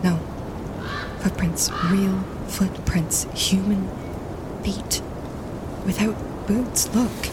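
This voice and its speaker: A practical woman photographer out in the winter wilderness. She talks slowly and plainly, keeping it low-key and hushed, as in a naturalistic audio drama. Clear outdoor ambience sits behind her. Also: fairly even intonation, heavy background noise. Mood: hushed, alert.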